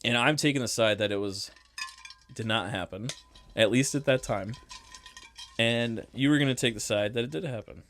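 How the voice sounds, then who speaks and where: A man's voice: medium pitch, moderate pace, long pauses, a bit bright, slight background noise. A young man co-hosting a casual podcast, joking around and laughing often. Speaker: podcast co-host. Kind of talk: casual chat.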